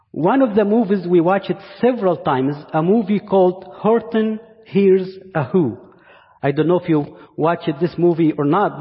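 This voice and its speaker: A slightly high-voiced man with an Egyptian accent delivers a sermon at a very measured pace. He pauses deliberately and repeats phrases for weight. His voice rings through a big church space with long reverb. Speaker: guest preacher. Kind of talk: sermon to a congregation. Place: large indoor church space. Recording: muffled, reverberant church hall sound.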